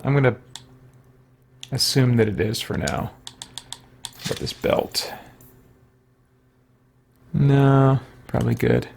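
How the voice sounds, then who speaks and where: A man giving casual livestream game commentary, thinking aloud in short remarks. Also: medium pitch. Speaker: video game streamer.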